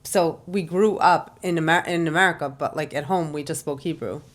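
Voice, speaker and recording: A woman from Israel hosting a podcast, speaking casually and warmly with lots of fillers. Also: low-pitched, fairly quick. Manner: warm, rambling, lots of "like" and "you know". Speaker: podcast host. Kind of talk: casual conversational interview.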